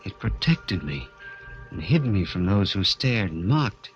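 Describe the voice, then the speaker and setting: An actor playing a bitter, anguished vaudeville little person in a 1940s radio drama, intense and halting. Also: male voice, low-pitched, big pitch swings, muffled recording, soft background music, exaggerated voice. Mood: bitter, brooding.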